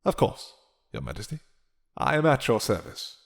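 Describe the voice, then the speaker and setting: A man voicing a proud vampire lord in a dramatic roleplay, courtly, measured and threatening. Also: on the low side, wildly swooping pitch, gravelly, heavily acted. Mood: formal, guarded. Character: proud, menacing, smooth-talking male vampire lord.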